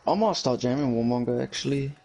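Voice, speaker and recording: A man's voice: mid-range voice, quick pace, gravelly, mellow. A man from New Zealand with a New Zealand accent, chatting hesitantly with a friend during a game. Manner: hesitant, full of ums and uhs. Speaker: gaming friend. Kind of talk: casual party chat.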